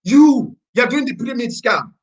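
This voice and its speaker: A man putting on the voice of a skeptical acquaintance who loudly scolds and accuses in disbelief. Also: extremely high pitch, lively intonation, normal speed, pitched above the performer's own voice. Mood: scolding. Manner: shouting, accusing, incredulous.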